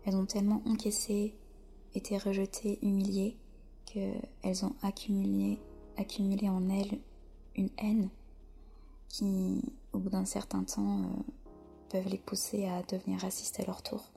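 A soft-spoken French woman, a podcast guest, answering slowly with many hesitant pauses in a whispery voice. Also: mid-range voice, completely flat delivery, creaky, slightly rough, faint music behind. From France. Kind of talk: podcast interview.

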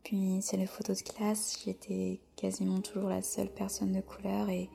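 A soft-spoken French woman, a podcast guest, answering slowly with many hesitant pauses in a whispery voice. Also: medium pitch, monotone, vocal fry. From France. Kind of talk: podcast interview.

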